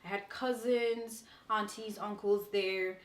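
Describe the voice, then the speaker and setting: A woman's voice: medium pitch, steady tone, slow, roomy sound. A young woman from Nigeria, the guest, talking casually and playfully about her upbringing, laughing often. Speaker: interview guest. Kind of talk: casual conversational interview. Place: indoor room, moved to Canada.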